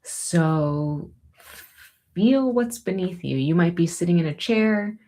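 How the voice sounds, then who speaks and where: A woman teaching yoga and breathing, giving gentle, soothing, unhurried encouragement as she guides each movement. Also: on the low side, expressive.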